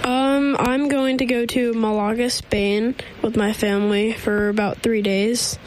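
A woman's voice: a little high, level tone, unhurried, a little hiss or hum, low-quality recording. A teenage girl answering interview questions on the radio, hesitant with many ums. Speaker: student guest.

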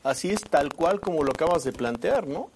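Higher-voiced Mexican male news commentator. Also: animated, a little fast, gravelly, slight background noise. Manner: emphatic, repetitive, seeks agreement with tag questions. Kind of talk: conversational opinion commentary.

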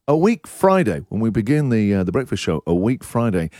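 A man hosting a breakfast radio show, talking in an excitable, emphatic, rambling way with lively banter. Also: fairly low pitch, a little fast, no echo, clean studio sound. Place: radio studio.

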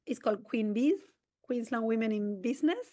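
A woman speaks as an interview guest. Her voice sits fairly high, and she explains things at length in an earnest, enthusiastic way, with the odd quick restart. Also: animated, warm tone. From Argentina. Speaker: community organisation president.

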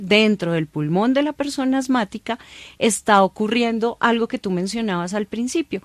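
A woman doctor, calmly explaining things to a host in an interview, using everyday examples. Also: medium pitch, no room sound. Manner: calm, patient, explains plainly with everyday examples. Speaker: doctor, guest expert.

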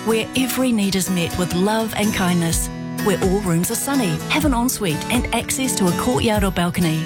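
A woman reading a scripted radio advertisement in a gentle, reassuring way. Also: mid-range voice. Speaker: advertisement voice-over. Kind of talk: scripted ad read. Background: music.

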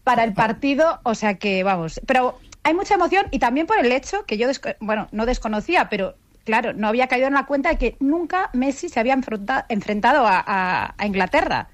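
A woman's voice: a little high, lively intonation, fairly quick. A woman from giving a conversational live report as a radio correspondent.

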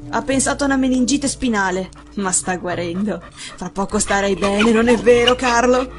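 A woman's voice: medium pitch, normal speed, loud music behind the voice, lightly acted. A woman reader voicing a distraught, burly husband, earnest and emphatic, in an audiobook story. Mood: troubled, tender. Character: distraught, burly rugby-playing husband and master.

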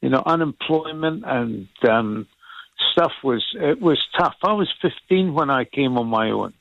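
A man in his seventies with a Scottish accent, calling in to a talk radio show, speaking earnestly and haltingly. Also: medium pitch, expressive, creaky, dry recording, phone-quality sound. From Scotland. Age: 70s. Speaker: caller.

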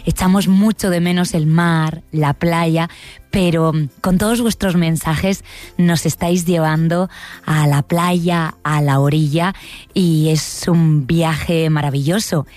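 Female radio host, measured delivery, very low voice, faint music bed. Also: fairly even intonation, darkish tone, no echo. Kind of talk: radio presenting.

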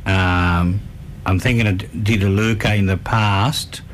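A man with a lowish voice co-hosts a casual football chat. He often cuts in to complete his partner's thoughts, with faint ambient noise behind him. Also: fairly even intonation, measured pace. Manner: chips in to finish the other's sentences. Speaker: co-host.

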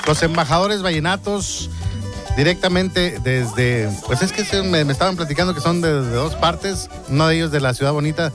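A man's voice: a little high, moderate pace, music in the background. A man hosting a morning radio show, chatting casually and laughing easily with his guests. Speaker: radio host.

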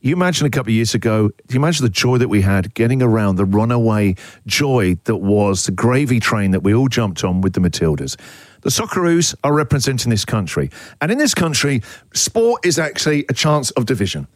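Australian male breakfast host, animated pitch, dry studio sound, enthusiastic. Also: fairly quick, dry recording. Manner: energetic, enthusiastic, jokey. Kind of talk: lively radio banter. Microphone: studio mic.

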